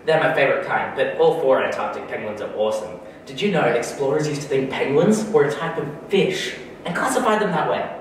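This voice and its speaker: A man's voice: medium pitch, animated, brisk, few pauses, a little hiss or hum, close to an everyday voice. A young actor playing an eager boy who chatters about penguins. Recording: echoey hall, distant.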